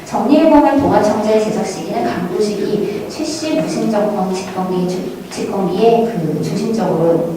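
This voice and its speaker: A woman presenting academic research at a conference in a measured, formal manner, reading from prepared notes. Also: mid-range voice. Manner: measured, formal scholarly delivery. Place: large echoey lecture hall at a conference. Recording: very echoey hall, distant mic, faint noise.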